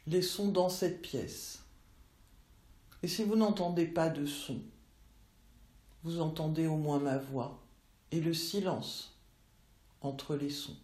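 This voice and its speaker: A woman teaching yoga nidra, guiding relaxation in measured instructional phrases with long pauses. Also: low-pitched, dark tone. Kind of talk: slow guided relaxation meditation. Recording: roomy, echoey voice recording.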